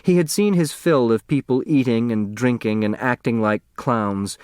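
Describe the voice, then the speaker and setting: A man narrating a story as an audiobook reader, expressive and scripted. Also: mid-range voice, normal speed, clean tone, dry recording, studio quality.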